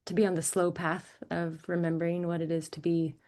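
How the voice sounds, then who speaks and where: American woman, lower register, dark relaxed tone, reflective self-introduction to an online group, contemplative phrasing. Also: a little fast. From United States. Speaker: singer and course organizer, guest. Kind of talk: reflective personal introduction on a group video call. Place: video call.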